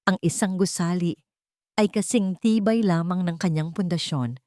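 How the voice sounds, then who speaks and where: A woman's voice: lowish voice, normal speed, a little mellow, no room sound, clean studio sound, lightly acted. A woman voicing an earnest, remorseful billionaire man in a romantic audio story, solemn and pleading. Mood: solemn, resolute.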